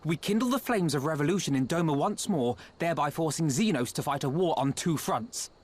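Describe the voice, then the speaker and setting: A formal young strategist. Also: male voice, fairly high pitch, expressive, darkish tone. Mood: analytical. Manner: formal, articulate, deliberate. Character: young male scholar-strategist, formal and analytical. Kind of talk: game cutscene voice acting.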